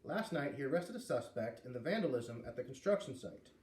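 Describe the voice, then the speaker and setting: A calm middle-aged father from a storybook , read by a man. He is measured and matter-of-fact with a soft, mellow tone, picked up from a little way off in a roomy space. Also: medium pitch, lightly acted. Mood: reassuring. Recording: roomy, distant mic with light noise.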